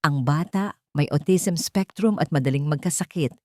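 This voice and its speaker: A woman narrates a dramatic first-person story in a solemn, measured, emotive way. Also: low-pitched, warm tone, dry recording, clean studio sound.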